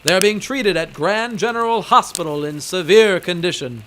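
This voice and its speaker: A man's voice: high-pitched, lively intonation, moderate pace, fairly bright tone, slight background noise. A man narrating and reading bulletins as an announcer in an old-time 1920s radio style.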